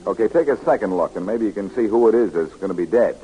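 Man playing a lively, wisecracking radio sleuth. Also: on the low side, fast, dull audio, lightly acted. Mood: flippant. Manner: flippant, wry. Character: wisecracking, skeptical man, breezy amateur sleuth. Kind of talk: 1940s radio acting. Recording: old radio recording with loud noise.

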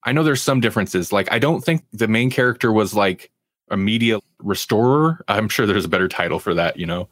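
A man with a fairly low voice co-hosts a casual review podcast. He talks in a rambling, conversational way, and the sound is dry with very little room in it. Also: lively intonation, fairly quick, fairly bright tone, no room sound. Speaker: podcast co-host. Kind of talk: casual review chat about TV.

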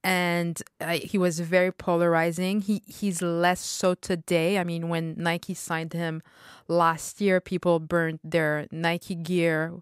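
Smooth-voiced woman podcast co-host. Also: fairly low pitch, slow pace, few pauses, dry recording, clean studio sound. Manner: animated, opinionated, laughs easily. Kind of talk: conversational podcast discussion.

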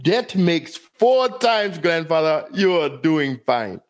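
A man's voice: a little high, sing-song, slow. A man reading the part of a teasing young grandson, sly and counting.

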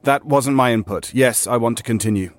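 A man's voice: mid-range voice, a little fast, lightly acted. A man playing a weary, stubborn veteran player of a surreal game, wry and conversational in a naturalistic audio drama. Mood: wry, resigned.

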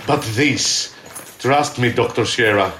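Man as awestruck researcher, mid-pitched, emphatic, enthusiastic, audio drama, roomy echo. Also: slow pace, bright, forceful tone, a little hiss or hum. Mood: proud, excited. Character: earnest, awestruck male scientist and excavator. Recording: roomy, echoing sound.

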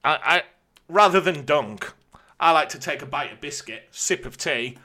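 A man's voice: fairly high pitch, lively intonation, normal speed, bright, forceful tone. A British man co-hosting a podcast, reading out listener questions and bantering in a jokey, colloquial, casual way.

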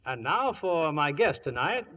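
A man's voice: on the high side, sing-song, a little slow, dull audio. An American man hosting and narrating a scripted 1950s radio show. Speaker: host and narrator. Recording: band-limited old radio broadcast.

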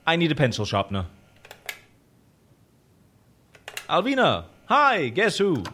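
A fussy, childish male boss in a comedy radio play. His voice is high and sharp, swooping around theatrically as he gets flustered, exclaims and digs in stubbornly. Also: wildly swooping pitch, fairly quick, slight background noise, exaggerated voice. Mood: awkward, hesitant. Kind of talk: audio drama comedy acting.

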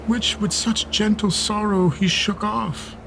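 An actor playing a stern, proud elderly English duke in a Shakespeare radio play, declaiming archaic verse with a quick temper. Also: male voice, high-pitched, steady tone, gravelly, background noise, exaggerated voice. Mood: grave, sorrowful. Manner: declamatory, measured verse delivery.

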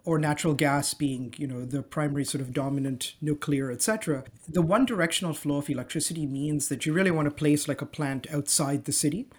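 A man's voice: on the high side, brisk, hardly any pauses. A man from Dubai, a company co-founder, calling in remotely and explaining his work in a podcast interview with frequent fillers and restarts. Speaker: guest, energy company co-founder.

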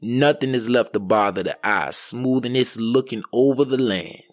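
A man narrating an old folk tale as a storyteller, in a measured way with dramatic pauses. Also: mid-range voice, dry recording. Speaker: storyteller, podcast host.

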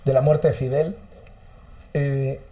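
A man's voice: medium pitch, flat delivery, mellow, echoey room. A Mexican man in his forties, a journalist and talk show guest, telling a self-mocking story in casual chat. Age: 40s. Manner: rapid, self-mocking storyteller who quotes officials.

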